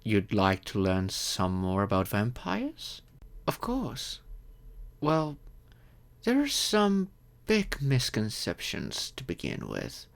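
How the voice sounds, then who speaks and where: A man playing a courteous, gentle, wealthy old vampire in an audio roleplay, speaking reassuringly and earnestly to the listener. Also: fairly low pitch, wildly swooping pitch, vocal fry, heavily acted. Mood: patient, explaining. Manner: gentle, reassuring, unhurried with thoughtful pauses.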